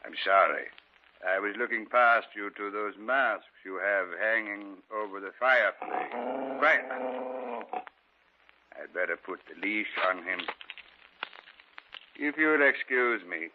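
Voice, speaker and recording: An actor playing a soft-spoken, apologetic male stranger out walking his dog, in an old radio play. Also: on the low side, takes it slowly, long pauses. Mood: polite. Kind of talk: 1940s radio acting. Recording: tinny old radio broadcast with hiss.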